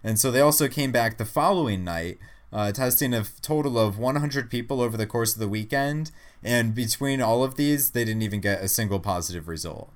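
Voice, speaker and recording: A man's voice: mid-range voice, a little fast. A man co-hosting a podcast, giving casual news commentary in a conversational, restarting way. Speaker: podcast co-host.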